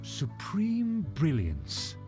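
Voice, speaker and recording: A man's voice: on the high side, wildly swooping pitch, very slow, dark tone, soft background music, exaggerated voice. A man voicing a vain, condescending aristocrat with a pompous, grandiose manner in a video game. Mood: smug, flattered.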